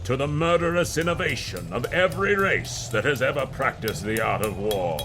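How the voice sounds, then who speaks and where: Man as boastful weapons merchant, fantasy game character, grandiose salesman, highly varied intonation, clear background music. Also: expressive, moderate pace, lightly acted. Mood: proud, menacing.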